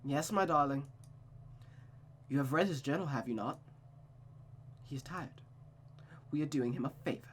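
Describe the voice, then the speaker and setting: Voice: mid-range voice, moderate pace, long pauses, slight background noise, natural voice. A performer voicing a cold, manipulative mother who coaxes and dominates her child with silky endearments in a visual novel. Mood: sweetly coaxing.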